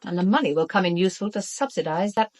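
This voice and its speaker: A woman's voice: on the low side, lively intonation, fast. An actress with a British accent playing a bitter, outspoken woman in a radio drama, blunt and emphatic. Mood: bitter, agitated.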